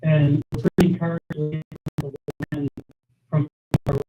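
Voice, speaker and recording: A male executive answering questions on an earnings call with halting, broken phrasing. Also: on the high side, flat delivery, lo-fi sound, loud music behind the voice. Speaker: company executive.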